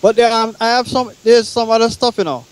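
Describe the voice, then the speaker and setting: A man recounting his own experience as a talk show guest, halting and repeating words as he explains. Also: extremely high pitch, fairly even intonation, smooth, poor audio. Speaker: guest musician and songwriter.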